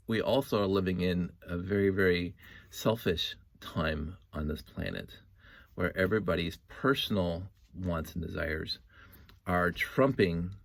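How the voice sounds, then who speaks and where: A man's voice: low voice, vocal fry, no echo. A man in his fifties giving a casual, opinionated monologue, earnest and often interrupting himself as he thinks. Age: 50s. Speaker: host of a story-time monologue.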